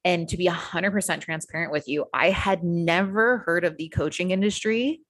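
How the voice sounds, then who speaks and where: An American woman who works as a coach, telling her story as a podcast guest in a casual, energetic way with frequent fillers and the odd swear word. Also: fairly low pitch, normal speed, dry recording. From United States.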